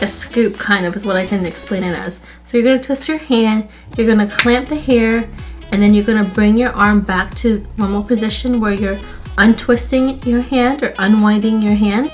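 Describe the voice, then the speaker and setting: A woman with a stuffy nose chatting casually as she walks through a hair tutorial for her channel. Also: on the high side. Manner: chatty, friendly, repeats "literally" and "like". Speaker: beauty YouTuber.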